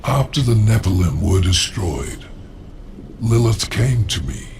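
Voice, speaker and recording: An actor playing a cunning, haughty demon lord in a video game, urbane, measured and condescending. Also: male voice, fairly low pitch, big pitch swings, creaky, a little mellow, slight background noise, full character voice. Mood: measured, evasive. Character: cunning, haughty male demon lord, coolly self-serving ally. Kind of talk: theatrical video game acting. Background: music.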